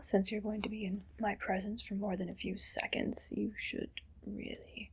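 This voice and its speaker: Lady doing an evil queen asmr roleplay, super breathy whisper, really slow, snooty and bossy. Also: medium pitch, exaggerated voice, low-quality recording. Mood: grudgingly indulgent. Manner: slow, deliberate, imperious. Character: haughty, condescending evil queen, coldly teasing.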